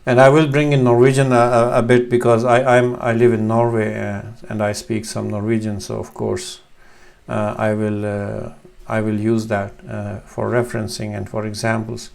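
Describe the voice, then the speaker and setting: Man in his thirties, Urdu native speaker from Pakistan, gravelly voice, hesitant teacher at a webcam. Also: on the low side, little pitch movement, moderate pace, a little mellow. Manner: hesitant, many fillers and restarts. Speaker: Arabic teacher. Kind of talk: screen-share lesson. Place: small room at home, indoors.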